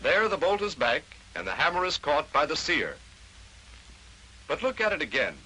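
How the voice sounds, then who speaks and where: A man's voice: fairly high pitch, sharp tone, low-quality recording. An American man narrating a scripted 1940s instructional film, explaining step by step to the viewer on an old film soundtrack. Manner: clear step-by-step explanatory delivery, addressing the viewer. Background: voice-over on a training film.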